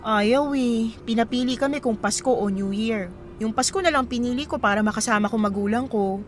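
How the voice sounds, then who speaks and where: A woman with a Filipino accent playing a kind, curious young traveler in casual, expressive radio drama dialogue. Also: on the high side, fairly quick, faint music behind, natural voice. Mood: curious, chatty.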